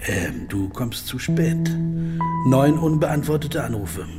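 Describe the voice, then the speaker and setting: An actor playing a guarded, stubborn private investigator in a radio drama, speaking in a terse, dry manner. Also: male voice, medium pitch, lively intonation, takes it slowly. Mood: hurt, resistant. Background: prominent music.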